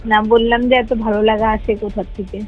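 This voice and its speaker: Higher-voiced Bangladeshi woman on dull phone recording. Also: flat delivery, moderate pace. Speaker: hospital cleaner. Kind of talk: private phone conversation. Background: music.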